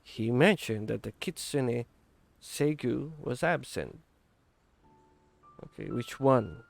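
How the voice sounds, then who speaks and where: A man's voice: mid-range voice, slow pace, creaky. A man who streams games, giving casual live reaction commentary as he plays. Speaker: gaming streamer reacting to a game. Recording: voice over game music.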